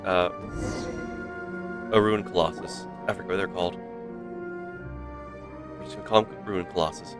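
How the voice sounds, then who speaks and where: A man's voice: low voice, steady tone, measured pace, long pauses, over music. A man giving commentary on a game livestream and reading on-screen text aloud.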